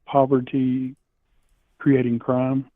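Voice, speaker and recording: A man's voice: mid-range voice, completely flat delivery, slow, dry recording. A man calling in to a live stream, hesitant and rambling, stumbling over his words and laughing uneasily. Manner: hesitant, rambling, lots of fillers and restarts. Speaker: caller. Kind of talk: phone-in conversation. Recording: tinny phone line.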